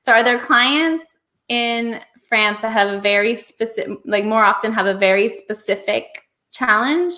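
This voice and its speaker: A woman's voice: on the high side, normal speed, pushed and bright. A woman hosting a podcast interview, chatty, laughing easily and rephrasing her questions as she goes. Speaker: podcast host.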